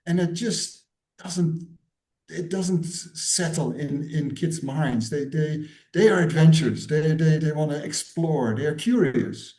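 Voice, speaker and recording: Dutch-born man in his sixties, mellow, roomy. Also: a little high, measured pace. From Netherlands. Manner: thoughtful, repeats words while searching for phrasing. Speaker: guest author and educator. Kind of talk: interview answers. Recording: roomy echo.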